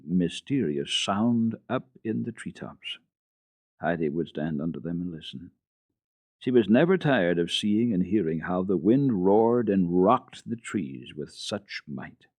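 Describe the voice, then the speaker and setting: A man giving an unhurried, expressive dramatic reading of a classic children's book for radio, with the odd hesitation. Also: low voice, no room sound. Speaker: storyteller on a children's radio program.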